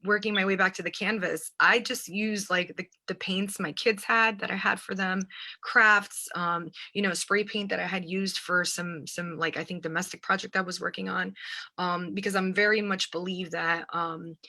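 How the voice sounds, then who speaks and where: A woman's voice: on the low side, fairly even intonation, moderate pace, sharp tone. An American woman, an artist, giving reflective interview answers in searching phrases and often checking in with the listener. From United States, New Jersey. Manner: hesitant, searching phrasing, often seeking agreement with "right". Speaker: visual artist and writer, interview guest.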